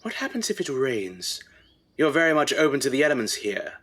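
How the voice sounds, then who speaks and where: A man's voice: medium pitch, very animated, fairly quick, fairly bright tone. A man playing a fond, worried Edwardian husband in a radio drama, with a British accent and a dry, fussing manner. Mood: fond teasing.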